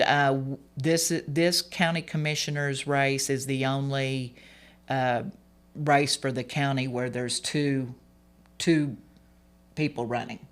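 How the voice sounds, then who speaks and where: Hoarse candidate, rambling gently. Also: medium pitch. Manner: rambling, many fillers and restarts, earnest. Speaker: political candidate. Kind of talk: radio interview guest.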